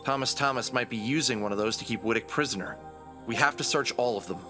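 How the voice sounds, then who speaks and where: A man's voice: medium pitch, fast talker, music in the background, natural voice. A man playing a determined fox adventurer who leads his team earnestly in an audio drama. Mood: resolute.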